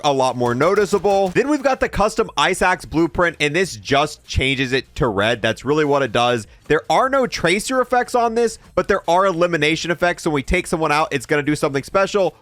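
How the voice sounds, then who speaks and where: Male gaming host, fairly high voice, very lively intonation, casual commentary that thinks aloud. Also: no echo. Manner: announcing each item, thinking aloud while testing. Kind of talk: casual game bundle review commentary.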